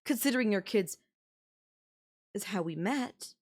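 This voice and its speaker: A woman's voice: mid-range voice, lively intonation, unhurried. Woman acting an obsessive, manipulative young babysitter. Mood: desperate, indignant. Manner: pleading, defensive, possessive, conversational. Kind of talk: anime-style audio roleplay acting, intimate one-to-one.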